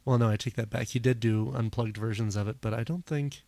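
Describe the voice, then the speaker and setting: Podcast guy, kinda hoarse, rambly, lots of ums, dry close sound. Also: mid-range voice, brisk, dark tone, dry recording. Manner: rambling, many fillers. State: slightly hoarse, losing his voice. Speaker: podcast host. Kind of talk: casual chat.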